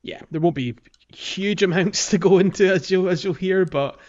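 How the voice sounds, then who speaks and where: A man co-hosting a podcast, chatting casually about a video game and filling pauses with ums. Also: sits high, muffled recording. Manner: relaxed, many ums, walks through game areas. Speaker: podcast co-host.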